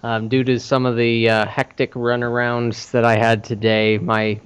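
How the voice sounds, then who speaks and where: A man's voice: medium pitch, flat delivery, moderate pace, smooth. A man co-hosting a podcast, explaining things in a matter-of-fact way in casual, unscripted shop talk. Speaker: podcast co-host, arcade operator. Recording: dull lo-fi voice recording.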